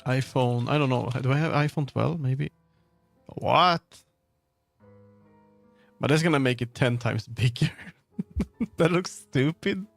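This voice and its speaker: A man livestreaming, chatting casually with viewers in a rambling, halting way as he muses aloud. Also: mid-range voice, creaky, no room sound. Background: background music.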